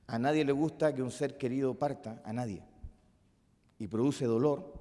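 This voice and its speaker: Chilean pastor preaching, warm mellow voice, big echoey church hall. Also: male voice, on the high side. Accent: Chilean Spanish. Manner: impassioned, swings from loud emphatic peaks to soft musing asides. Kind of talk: sermon. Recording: long reverb of a church hall.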